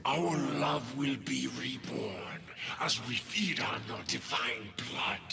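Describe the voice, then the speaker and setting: An actor playing a menacing, fanatical, diseased half-machine commander in a video game, with slow, theatrical, gloating delivery. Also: male voice, lowish voice, vocal fry, exaggerated voice. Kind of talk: video game villain acting. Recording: distant, echoing, noisy game audio.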